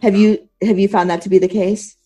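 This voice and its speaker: A woman interviewing on a podcast in an articulate, measured conversational way. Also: mid-range voice, flat delivery, dark tone.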